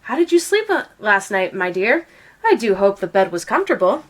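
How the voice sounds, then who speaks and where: Woman as an exacting penguin queen, lively pitch. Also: moderate pace. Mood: politely urging. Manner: formal, insistent. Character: penguin queen mother, regal, exacting, insistent.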